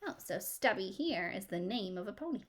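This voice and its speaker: A woman reading aloud to children as a teacher, expressive, pausing to ask questions and explain things simply. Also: mid-range voice.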